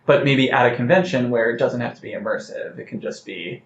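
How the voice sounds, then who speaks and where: A man being interviewed as a playwright, talking in a reflective, carefully measured conversational way. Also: medium pitch, vocal fry. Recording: roomy, slightly muffled, noticeable background noise.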